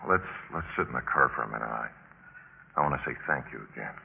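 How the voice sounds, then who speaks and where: A man's voice: a bass voice, quick pace, creaky, background noise, exaggerated voice. An actor playing a romantic young male college senior in a 1950s radio drama, courting with hesitant, repeated words. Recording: tinny 1950s radio recording, music behind.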